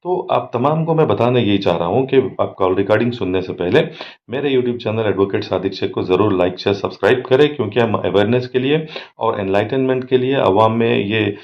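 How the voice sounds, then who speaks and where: A man's voice: lowish voice, fairly quick, hardly any pauses. An Indian man giving crime commentary as an advocate and host, mixing in many English words. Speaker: advocate and social activist, channel host. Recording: dull lo-fi microphone.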